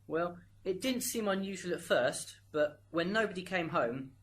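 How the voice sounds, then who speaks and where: A blunt, defensive schoolboy in a student radio play, voiced by a woman. The voice is fairly low for a woman and lively in pitch. A faint noise runs behind it. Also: moderate pace, natural voice. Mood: matter-of-fact. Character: sullen, blunt schoolboy son in the witness stand. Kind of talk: amateur student radio drama acting.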